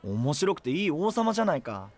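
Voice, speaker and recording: A man's voice: a little high, sing-song, brisk. A man voicing a cheerful, adventurous young male knight with an enthusiastic, easygoing boyish manner in an anime-style voice drama. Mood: admiring.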